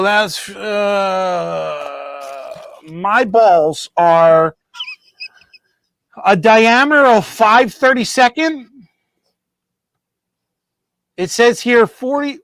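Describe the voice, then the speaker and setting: A man's voice: high-pitched, a bit bright, smooth, no room sound. A man hosting a model railroad show, chatting casually and joking as he rambles, restarts his sentences and corrects himself. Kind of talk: casual live-stream chat.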